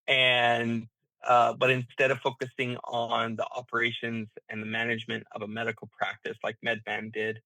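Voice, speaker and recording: A man speaking as an interview guest, explaining his business in a halting, thinking-aloud way with repeated words. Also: mid-range voice, bright, forceful tone, dry recording, low-quality recording. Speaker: interview guest, healthcare management founder.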